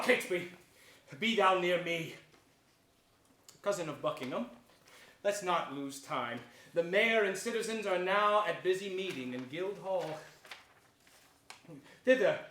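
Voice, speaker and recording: A man's voice: high voice, a bit bright. An actor playing a scheming, sardonic nobleman in a declamatory Shakespearean stage style, sly and falsely courteous. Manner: declamatory, sly, with drawn-out deliberate phrasing. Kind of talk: theatrical Shakespearean stage acting. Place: theater stage before an audience. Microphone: distant stage or camera mic. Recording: roomy stage pickup, distant, faint noise.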